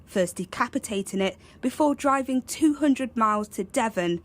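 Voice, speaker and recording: A woman's voice: on the high side, lively intonation, normal speed. Woman narrating a crime report.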